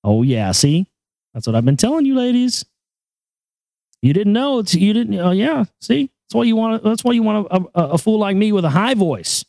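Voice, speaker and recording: A man with a high voice hosting a casual talk show, joking crudely and rambling over news items. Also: very animated, normal speed, gravelly, a little mellow, dry recording, studio quality. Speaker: show host.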